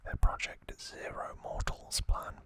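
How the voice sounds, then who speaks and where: Slow, bright whispering ASMR narrator. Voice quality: breathy, unvoiced whisper. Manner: slow, unhurried, gently repeats words for effect. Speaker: host of an ASMR trivia channel. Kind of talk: whispered ASMR narration, summarizing an anime saga. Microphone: close microphone.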